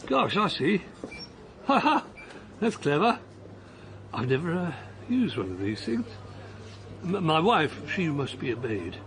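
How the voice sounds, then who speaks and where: A man's voice: on the high side, very animated, measured pace, long pauses, a bit bright, a little hiss or hum, full character voice, rough recording. An actor playing a mild, self-deprecating retired man on a long walk, hesitant and chuckling as he talks. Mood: amused. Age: older. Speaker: lead character. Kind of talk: radio drama acting.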